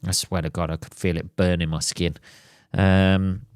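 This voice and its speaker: Male host, slightly hoarse, crude and jokey solo ramble, dry and close. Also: low voice, level tone, moderate pace, darkish tone, no room sound. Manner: crude, swears a lot, jokey. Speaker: podcast host. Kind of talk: casual solo podcast rambling. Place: at home.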